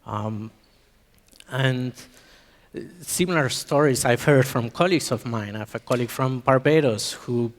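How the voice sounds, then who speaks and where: A man's voice: medium pitch, unhurried. Hesitant man speaking in a reverberant hall. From Mexico. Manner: hesitant, many ums and restarts. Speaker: conference speaker. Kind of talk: conference talk. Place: conference hall.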